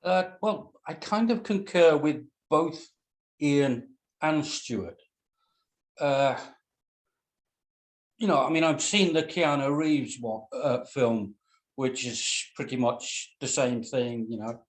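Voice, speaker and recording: A man's voice: medium pitch, slow. A man on a reading panel, giving casual feedback in a mild, hesitant, meandering way. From Britain.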